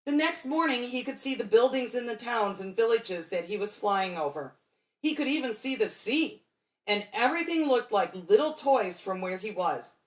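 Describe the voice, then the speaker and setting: A woman's voice: a little high, expressive, moderate pace, rough recording. A woman storyteller reading a children's bedtime story expressively, drawing out key words for dramatic emphasis.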